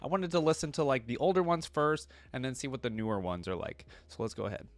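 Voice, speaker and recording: A man's voice: mid-range voice, big pitch swings, fast talker, dry recording. A man hosting a casual reaction video, chatty and musing as he thinks aloud.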